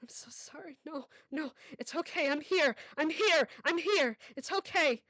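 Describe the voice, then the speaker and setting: A woman's voice: fairly high pitch, takes it slowly, no echo. A woman voicing a sobbing, desperate young mother. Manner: soothing, then breaking into sobbing pleas. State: crying. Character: frantic young mother pleading for her daughter. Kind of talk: dramatized story reading.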